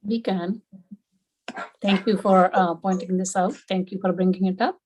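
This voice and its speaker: A woman's voice: mid-range voice, fairly even intonation, moderate pace, darkish tone, slight background noise. A woman chairing a board meeting, formally thanking members and passing the floor.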